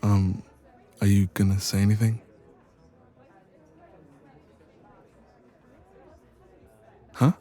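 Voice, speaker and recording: Man acting as affectionate young male best friend for romance audio roleplay; dark, relaxed, lowish voice, hesitant, intimate, gently teasing. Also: moderate pace, lightly acted. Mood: playful, affectionate.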